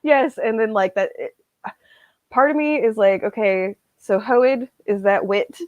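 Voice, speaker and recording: A woman around her thirties chatting casually on a video call, acting out her reactions and quotes in an animated way. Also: on the high side, unhurried, no echo, muffled recording. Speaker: co-host of a book discussion. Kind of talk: casual chat. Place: small room at home, on a video call.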